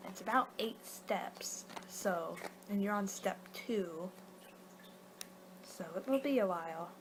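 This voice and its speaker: Woman doing rambling ASMR, noisy background. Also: mid-range voice. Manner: rambling, halting, losing her train of thought. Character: young-for-her-kind female vampire guiding a client, casual, a little exasperated. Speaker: ASMR performer. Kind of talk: soft-spoken ASMR roleplay, partly whispered.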